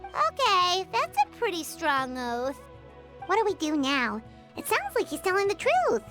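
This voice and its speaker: An actress voicing a small, childlike fairy companion in a video game, perky, inquisitive and bossy. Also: female voice, very high-pitched, huge pitch swings, unhurried, sharp tone, soft background music, full character voice. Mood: doubtful. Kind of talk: video game character acting.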